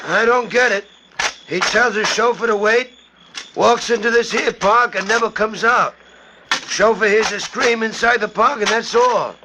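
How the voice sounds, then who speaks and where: An actor playing a nervous, slangy working-class gardener in a 1940s radio drama. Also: male voice, sits high, a little slow. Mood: uneasy. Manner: anxious, slangy. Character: nervous working-class male park gardener, easily scared. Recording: noisy old 1940s radio recording.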